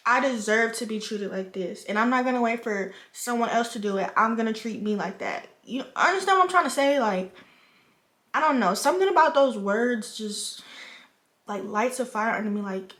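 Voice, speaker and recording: A woman's voice: fairly high pitch, expressive, moderate pace, a bit bright, echoey room. A woman in her twenties from the Virgin Islands, chatting frankly like a big sister while doing her makeup at a home vanity. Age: 20s. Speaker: vlogger.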